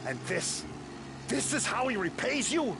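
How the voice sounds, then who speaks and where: A foul-mouthed, vengeful mob boss. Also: male voice, high-pitched, very animated, measured pace, noisy background, heavily acted. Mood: bitter. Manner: gruff, profane, commanding. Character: older mob boss, hot-tempered and vengeful. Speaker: crime boss.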